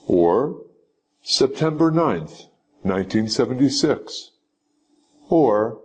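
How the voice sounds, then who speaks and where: A man reading scripted sample answers for a citizenship interview in a slow, measured, instructive way. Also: lowish voice, a little mellow, breathy edge. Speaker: teacher.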